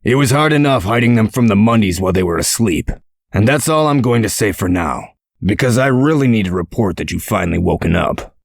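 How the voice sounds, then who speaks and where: A man playing a gruff, protective male character in a comic dub, speaking in a measured, dry, explanatory way. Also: lowish voice, lively intonation, dry recording. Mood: calm, explanatory.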